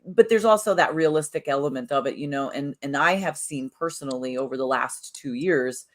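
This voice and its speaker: A woman's voice: sits low, a little fast. A woman co-hosting a casual spiritual chat podcast, talking in a rambling way with many restarts and "you know"s. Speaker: podcast co-host.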